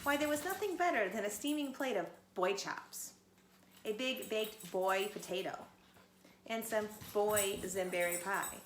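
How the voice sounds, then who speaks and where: A woman's voice: mid-range voice, measured pace, echoey room, slight background noise, close to an everyday voice. A woman voicing a stern grown father wolf in a storybook reading. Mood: fatherly. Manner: barking, stern.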